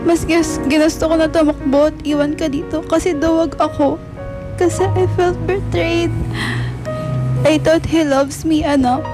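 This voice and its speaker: Casting: tender middle-aged mother, very high voice, slow halting radio drama delivery, music underneath. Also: fairly even intonation. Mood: remorseful, hurt. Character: tearful, remorseful middle-aged mother of grown son.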